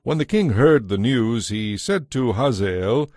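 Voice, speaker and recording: A man's voice: medium pitch, lively intonation, measured pace, a little mellow, no room sound, clean studio sound. A man reading scripted narration for an audio Bible.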